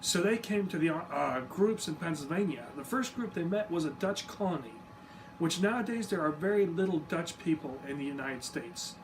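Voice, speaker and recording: Male explainer, slightly high voice, relaxed and informal, recorded from a distance. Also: normal speed. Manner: easygoing, self-deprecating, informal asides. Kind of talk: casual explanatory talk to camera. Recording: distant mic with steady background noise.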